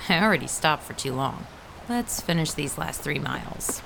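A middle-aged mother who runs and narrates her own story, in a low voice with gravelly fry. She is understated and matter-of-fact, against a noisy outdoor soundscape of sirens and traffic in an audio drama. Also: animated, moderate pace, exaggerated voice. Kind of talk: first-person audio drama narration and dialogue.

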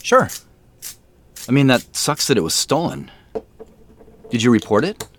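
A man's voice: mid-range voice, very animated, unhurried, slight background noise. An actor playing an awkward, modest businessman in his late thirties in an audio drama, hesitant and self-deprecating. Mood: careful, concerned. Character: awkward, modest late-thirties man running a tech company. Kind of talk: audio drama dinner dialogue.

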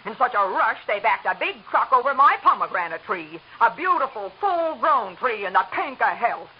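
A woman's voice: fairly high pitch, big pitch swings, normal speed, husky. An actress playing a nosy, prickly older neighbor woman in a 1940s radio drama. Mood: gossipy. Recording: dull lo-fi old radio recording.

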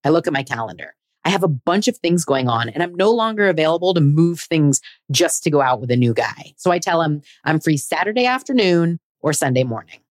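A woman's voice: low-pitched, animated, a little fast, no echo, clean studio sound. A woman in her forties hosting a podcast, telling her own dating story in a candid, chatty, self-deprecating way. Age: 40s. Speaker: podcast host. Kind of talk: scripted personal narration.

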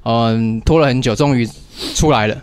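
A young man with a Taiwanese accent hosting a casual radio chat, halting and joking about himself. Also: medium pitch, darkish tone, clear, resonant tone, dry recording. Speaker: radio show host and comic artist.

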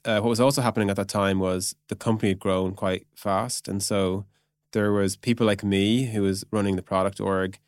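A man's voice: fairly low pitch, little pitch movement, moderate pace, dry recording, clean studio sound. A man speaking as a podcast guest, explaining things in an informal, chatty way with lots of conversational filler phrases. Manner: informal, peppers speech with "like" and "you know". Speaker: podcast guest, product executive. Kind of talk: casual explanatory chat.

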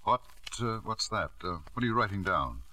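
An actor playing a wealthy, controlling middle-aged husband with a suave, clipped manner in a 1950s radio drama. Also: male voice, on the low side, big pitch swings, moderate pace, bright, forceful tone, full character voice. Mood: flirtatious, halting. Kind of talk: 1950s radio drama acting with first-person narration. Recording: vintage 1950s radio broadcast.

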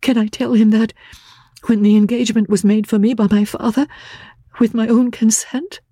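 Lady putting on a sad young gentlewoman for an audiobook, soft mellow voice, halting, pleading, close dry sound. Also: on the high side, steady tone, dry recording, pitched above the performer's own voice, lightly acted. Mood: sorrowful, earnest. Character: anguished, resolute young gentlewoman, gentle and tearful. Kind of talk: dramatic audiobook reading of classic novel.

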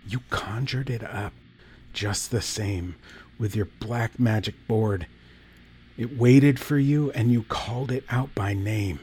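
A man playing a hushed, scornful priest. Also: on the low side, husky. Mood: hushed grim. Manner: hushed, slow and menacing, rising to a snarl. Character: bitter scornful male priest, middle-aged.

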